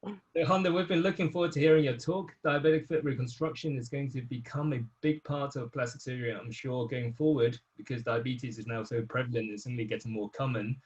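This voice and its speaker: A man's voice: medium pitch, steady tone, a little fast, darkish tone, highs cut off. A man of thirty or forty-something with a mid-range voice acts as webinar host. He speaks informally, as on a video call from a living room. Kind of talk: informal introduction and hosting.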